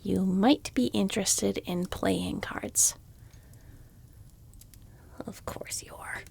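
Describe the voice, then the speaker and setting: A woman's voice: mid-range voice, big pitch swings, slow, creaky, a little mellow, husky, whispered, full character voice. A woman playing a weary, exasperated shopkeeper in a fantasy audio roleplay, reluctantly polite, with dry, muttered sardonic asides. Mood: polite sales patter.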